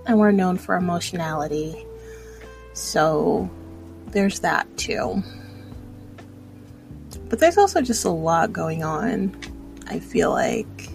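A woman's voice: lowish voice, animated, takes it slowly, creaky, long pauses, darkish tone, soft background music. A woman in her thirties chatting casually in a personal vlog, rambling and hesitant as she reflects on herself. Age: 30s. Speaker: vlogger.

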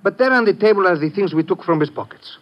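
An actor playing a formal, composed foreign police lieutenant in an old-time radio drama, polite and precise. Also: male voice, sits high, lively intonation. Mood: calm, inquiring. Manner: polite, measured, precise wording. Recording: muffled, faintly noisy vintage radio recording.

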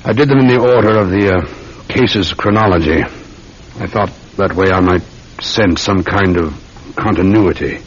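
An actor playing a courteous, patient police sergeant in a radio drama, with a measured, methodical manner. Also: male voice, sits low, slightly rough. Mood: deferential. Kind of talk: old-time radio drama acting. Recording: dull, noisy old radio recording.

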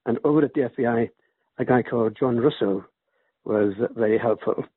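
A man's voice: mid-range voice, a little fast, husky, dry recording. An older man from Wales, an emeritus professor, answering interview questions at length with frequent restarts. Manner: rambling, with restarts. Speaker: guest author. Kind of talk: interview over a call. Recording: muffled phone-like line, dry.

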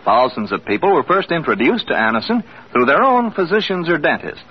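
A man's voice: fairly high pitch, sing-song, fairly quick, husky. A male announcer reading old-time radio advertisements and sign-offs in a polished, emphatic sales delivery. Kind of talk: 1940s-50s radio ad announcing. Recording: muffled old radio broadcast.

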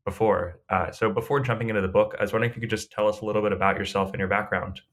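A man's voice: fairly low pitch, fairly even intonation, rapid-fire. A man hosting a conversational interview, putting his questions in a tentative, roundabout way. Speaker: podcast interviewer, host.

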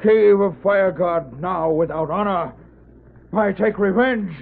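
A man's voice: high voice, takes it slowly, vocal fry, slight background noise, full character voice. An actor playing a scornful, treacherous Native medicine man, a western radio villain speaking defiant broken English. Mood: vengeful. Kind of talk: old-time radio western acting. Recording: narrow-band old radio recording, faint music.

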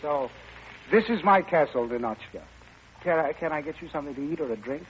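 A charming, flirtatious man-about-town, played by an actor in a vintage radio comedy. His voice is a little high, and he banters playfully and smoothly. The sound is dull, with faint noise. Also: lively intonation, a little fast, close to an everyday voice. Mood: romantic, teasing. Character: suave, flirtatious Parisian playboy, playful and charming. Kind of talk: old-time radio comedy acting.

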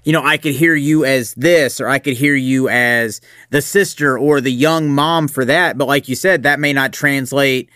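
A man's voice: medium pitch, normal speed, hardly any pauses, bright, forceful tone, no echo, clean studio sound. A man hosting an interview, asking long rambling questions and backing up his guest. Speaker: podcast host. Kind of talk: casual interview.

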